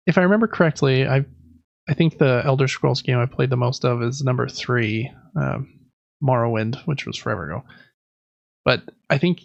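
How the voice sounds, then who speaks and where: A man with a gravelly, creaky voice chats casually as a podcast co-host. He phrases things tentatively and meanders a little. The recording is dry and slightly muffled. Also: medium pitch, dry recording. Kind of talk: casual video game chat.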